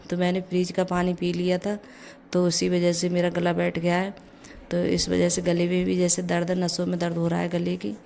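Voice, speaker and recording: A middle-aged woman with a low, gravelly voice made hoarse by a cold talks through her morning chores in a casual home vlog. Her pitch stays almost flat as she chats along. A faint hum of the house sits behind her. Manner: chatty, leans on the filler "jaise". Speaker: vlogger, mother. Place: home kitchen.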